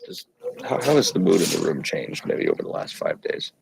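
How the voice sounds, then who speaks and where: Deep, gravelly male reporter. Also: wildly swooping pitch, brisk, darkish tone, a little hiss or hum, rough recording. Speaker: sports reporter. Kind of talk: press interview questioning.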